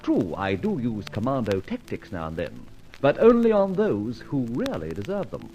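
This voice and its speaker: An actor playing a suave, indignant British gentleman thief in an old-time radio detective serial, with articulate, flippant delivery. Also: mid-range voice, huge pitch swings, moderate pace, faint music behind. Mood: wry narrating. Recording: noisy old radio recording.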